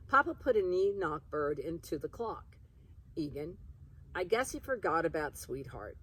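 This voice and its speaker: A woman's voice: low-pitched, sing-song, unhurried, a bit bright, breathy edge, heavily acted. A woman voicing a superior, self-important little girl from a children's storybook, scornful in manner. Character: bossy, superior know-it-all little girl.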